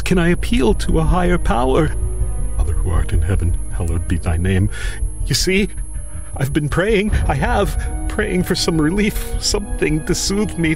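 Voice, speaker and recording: An actor playing a grief-stricken, tormented man haunted by a raven, in a theatrical, halting, impassioned radio horror monologue. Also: a little high, gravelly. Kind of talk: dramatic monologue, old-time radio horror acting.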